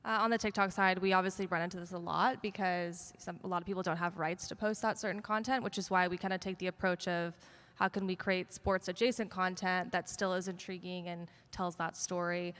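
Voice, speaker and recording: A woman's voice: on the low side, fairly even intonation, brisk, fairly bright tone. A woman speaking casually on a conference panel, with many filler ums. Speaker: panelist, social media sports partnerships. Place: conference stage. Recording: long reverb of a conference hall.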